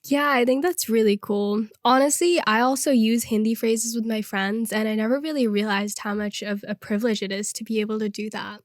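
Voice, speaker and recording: A woman's voice: a little high, steady tone, a little fast, hardly any pauses, no room sound, studio quality. A teenage girl co-hosting a student podcast, talking earnestly and reflectively in a casual way. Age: teens. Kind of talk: casual conversational podcast chat.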